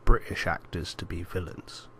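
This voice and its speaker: A man's voice: deep voice, normal speed, pushed and bright. A man co-hosting a podcast and chatting casually about films. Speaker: podcast co-host. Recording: noisy amateur podcast recording.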